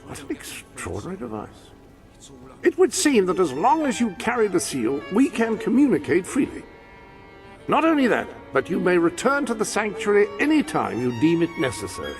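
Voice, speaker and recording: An actor playing a fussy, learned and loyal British butler in a video game, formal and wordy. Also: male voice, sits high, huge pitch swings, moderate pace, soft background music, exaggerated voice. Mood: helpful, fussy. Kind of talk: video game cutscene acting.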